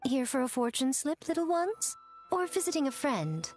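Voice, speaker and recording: A woman's voice: sits high, big pitch swings, moderate pace. A woman voicing a sly shrine priestess in a game, speaking in a playful, teasing, knowing way. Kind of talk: game cutscene voice acting. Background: faint game music.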